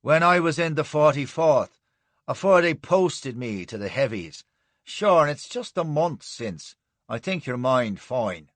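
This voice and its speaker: A man voicing a sly, insolent Irish soldier with a soft, whiny brogue. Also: on the high side, lively intonation, measured pace, dry recording. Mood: wheedling.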